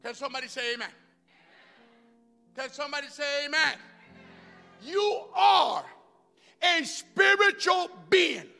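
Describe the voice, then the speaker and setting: A man delivers a sermon in a very high, bright voice. He drops into rapid, quieter asides, then climbs back into shouting. He is heard in a long-reverb hall with soft music underneath. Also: vocal fry. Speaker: preacher.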